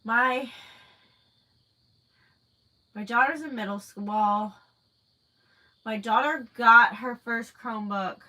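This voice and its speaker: A woman's voice: a little high, takes it slowly, long pauses, pushed and bright, low-quality recording. A woman in her thirties with a stuffy nose from a cold, chatting casually and rambling on a livestream as she answers viewers. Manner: chatty and rambling, many fillers. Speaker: livestream host. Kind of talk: casual live chat answering viewer comments.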